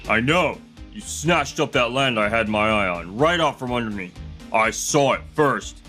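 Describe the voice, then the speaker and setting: A man's voice: mid-range voice, lively intonation, normal speed, pushed and bright. A man playing an arrogant, petulant rich heir in a manga dub, brash and dismissive, with music under the voice. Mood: indignant. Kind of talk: dramatic manga dub voice acting.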